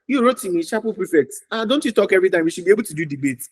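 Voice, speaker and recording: A man putting on a high coaxing schoolteacher lady. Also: animated, very fast, a little mellow, close to an everyday voice. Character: high-pitched schoolteacher woman, coaxing and encouraging.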